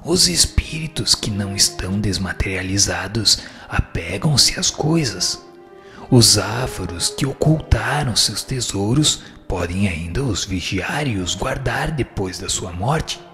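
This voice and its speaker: A man's voice: fairly low pitch, animated, unhurried, pushed and bright. A man reading scripted answers in a formal, didactic manner. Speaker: reader of the answers. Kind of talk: scripted reading of a spiritist text. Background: music.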